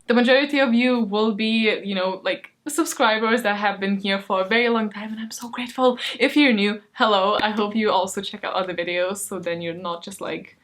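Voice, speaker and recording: A woman talking casually to camera as a book vlogger, rambling, expressive and self-deprecating, with a giggly, emphatic way of talking. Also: on the high side. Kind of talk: casual chatty vlog to camera.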